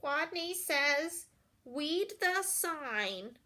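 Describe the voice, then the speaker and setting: Woman voicing a timid, squeaky little boy rodent. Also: animated, very slow, bright, forceful tone, exaggerated voice. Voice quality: says W in place of R. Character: timid young male rodent with speech impediment, squeaky.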